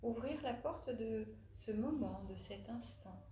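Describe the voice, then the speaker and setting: A woman leading a guided relaxation as a yoga nidra instructor, speaking haltingly with long pauses and frequent hesitations. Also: a little high, dark tone. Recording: roomy echo, faint background noise.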